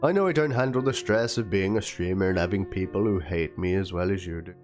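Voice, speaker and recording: A man's voice: low-pitched, a little fast, natural voice. A man doing a dramatic reading as a wounded young woman, plaintive and theatrical, spelling out chat slang. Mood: hurt, accusing. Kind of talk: dramatic reading of a written document and chat logs. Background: guitar music.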